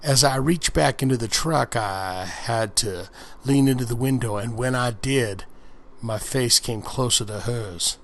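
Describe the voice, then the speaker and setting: A man with a mid-pitched voice reads a story aloud at a very unhurried pace. It is done as relaxed ASMR book reading, and he slips in crude, jokey asides as he goes. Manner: breaks off to comment crudely on the story.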